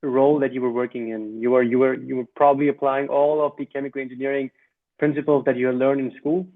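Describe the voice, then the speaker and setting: A man's voice: medium pitch, little pitch movement, fast talker. A man hosting a podcast, asking interview questions and giving frequent encouraging responses. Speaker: podcast host. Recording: tinny phone-like line.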